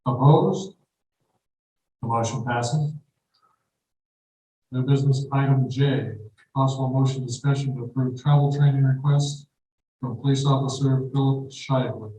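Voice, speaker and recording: A man chairing a city council meeting, calling votes and reading agenda items in a brisk, procedural way. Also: medium pitch, fairly even intonation, mellow. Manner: brisk procedural, quickens when explaining. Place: council auditorium. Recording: echoey hall from far off, faint noise.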